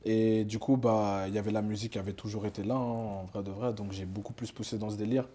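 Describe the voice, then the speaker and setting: A man's voice: fairly low pitch, little pitch movement, quick pace, mellow. A young man, a rapper talking casually in an interview, loose and slangy with lots of fillers and restarts. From Switzerland. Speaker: rapper guest.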